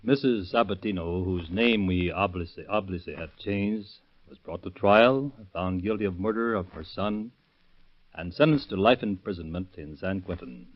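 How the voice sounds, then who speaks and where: A man with a low voice reads stiffly and formally as a police captain. The old radio recording is muffled. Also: moderate pace. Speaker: police captain giving case epilogue. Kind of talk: stiff scripted read.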